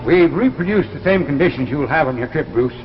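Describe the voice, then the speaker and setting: An actor playing a confident, reassuring scientist who explains things briskly in 1950s drama style. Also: male voice, a little high, expressive, over music, lightly acted. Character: confident, reassuring scientist directing a space mission test. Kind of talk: 1950s television drama acting. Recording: muffled old broadcast with background sound.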